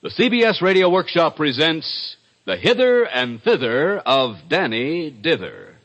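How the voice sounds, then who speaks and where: An actor narrating a whimsical 1950s radio musical as a genial announcer and storyteller. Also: male voice, a little high, very animated, measured pace, fairly bright tone, exaggerated voice. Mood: announcing. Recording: dull 1950s radio recording, music behind.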